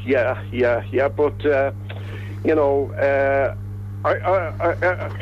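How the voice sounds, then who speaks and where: A man's voice: mid-range voice, very slow, soft background music. Hesitant Dublin man on a dull line. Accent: Dublin Irish. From Ireland. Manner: hesitant, many restarts. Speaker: guest. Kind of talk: casual radio chat.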